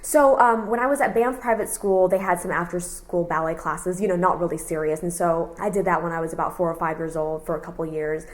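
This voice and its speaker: A woman in her thirties from Texas, whose first language was Cantonese, answers questions in an oral history interview. Her voice sits a little low, and she talks in a loose, rambling way, stringing thoughts together with plenty of fillers. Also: fairly quick, few pauses. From Houston, Texas. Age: 30s. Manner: relaxed, rambling, many "you know" fillers.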